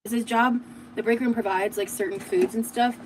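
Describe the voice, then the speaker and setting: A woman's voice: fairly high pitch, steady tone, moderate pace, noisy background. A woman chatting casually while she cooks breakfast on a livestream, full of "like" and little asides. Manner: chatty, lots of "like", talks while busy cooking. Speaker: cooking livestream host. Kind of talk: casual chat while cooking. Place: home kitchen.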